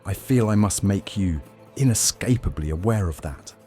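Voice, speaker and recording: A man playing a young Roman vampire master in an audio drama, measured, teasing and faintly threatening. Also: fairly low pitch, lively intonation, warm tone, soft background music, natural voice. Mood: solemn warning. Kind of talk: audio drama roleplay acting.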